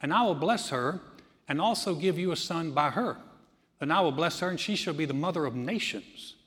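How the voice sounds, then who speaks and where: A man's voice: a little high, animated, moderate pace. Preaching man in a reverberant hall. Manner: builds from conversational teaching to loud, emphatic preaching. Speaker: pastor. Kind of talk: sermon.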